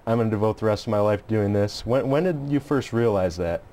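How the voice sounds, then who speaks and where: A man's voice: fairly low pitch, a little fast, dark tone. A man hosting a talk show, asking wordy, rambling questions in a conversational interview style.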